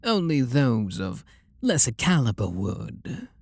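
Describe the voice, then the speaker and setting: Guy doing a british vampire lord lover, gravelly n breathy, tender but possessive, slow murmuring asmr, super close dry sound. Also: mid-range voice, huge pitch swings, dry recording, exaggerated voice. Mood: possessive, flirtatious. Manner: soft, slow, seductive murmur with breathy whispers. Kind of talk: intimate ASMR audio roleplay.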